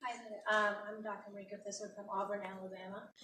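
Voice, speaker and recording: A woman's voice: mid-range voice, level tone, quick pace, sharp tone, slight background noise. A woman from South Africa, a native Afrikaans speaker, earnestly asking a question at a town hall. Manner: earnest, puzzled, pleading. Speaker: audience member asking a question. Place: large hall with audience. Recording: echoey hall from far off.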